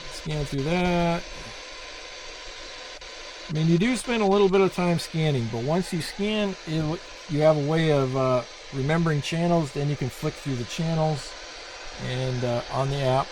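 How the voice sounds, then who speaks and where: A man's voice: fairly high pitch, measured pace, a little mellow, slight background noise, poor audio. A man giving a casual, hands-on product review in a plain-spoken, rambling way.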